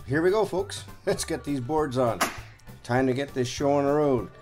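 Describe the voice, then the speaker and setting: A man giving a casual, folksy running commentary as a vlog host, explaining as he goes. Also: medium pitch.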